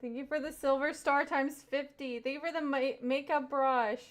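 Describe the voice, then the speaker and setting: A woman's voice: high-pitched, steady tone, normal speed. A young woman from Kentucky with a light Southern US accent, live-streaming, chatting casually, and thanking her viewers over and over. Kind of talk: casual chat reading viewer comments.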